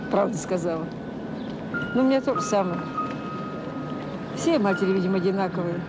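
A woman's voice: medium pitch, fairly quick, close to an everyday voice. An actress playing a frank, reassuring middle-aged friend and mother in a radio drama. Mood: consoling. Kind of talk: naturalistic Soviet radio drama acting. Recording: old radio play under loud music. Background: loud music.

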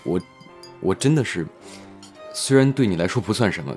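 A man playing a low-voiced, reserved actor. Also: lively intonation, moderate pace, darkish tone, soft background music, close to an everyday voice. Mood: hesitant, troubled. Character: reserved, gentle older male actor, self-doubting. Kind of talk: Chinese audio drama acting.

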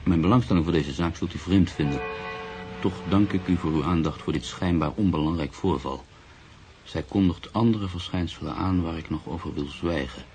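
Deep-voiced brooding writer. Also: male voice, moderate pace, darkish tone. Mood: measured reading. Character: proud, brooding male newspaper writer, sceptical and easily unsettled. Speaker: actor. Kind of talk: radio drama acting, monologue and narration. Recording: radio play with clear background noise.

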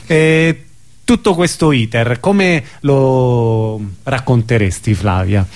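A male radio host with a medium-pitched voice. He interviews guests conversationally, in halting phrases, often pausing to find the word. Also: a little mellow.

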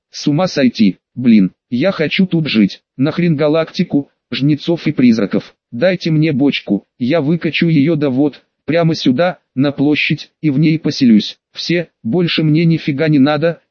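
A man narrates a science fiction audiobook in a colloquial, wry first-person style. His voice sits a little higher than most men's. The recording is very dry and somewhat dull, with little top end. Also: no room sound.

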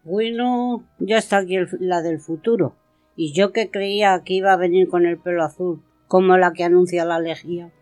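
A woman's voice: on the low side, fairly even intonation, normal speed, a little mellow, dry recording, faint music behind, close to an everyday voice. A woman from an amateur cast playing an older neighbour woman in a radio play, with a Spanish accent from Spain. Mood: wry, puzzled. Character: older neighbour woman, grumpy recluse turned repentant.

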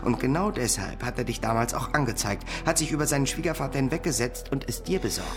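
A man's voice: mid-range voice, quick pace, soft background music, close to an everyday voice. A man playing a level-headed criminal associate who reasons bluntly, in a crime radio drama. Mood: blunt, explaining.

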